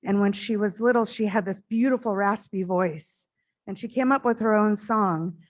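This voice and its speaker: A middle-aged woman speaks at a stage microphone to a conference. Her voice is naturally loud and carries well, and she sits at an ordinary pitch for a woman. She talks in an earnest, heartfelt way that sometimes rushes forward in quick bursts. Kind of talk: conference keynote address.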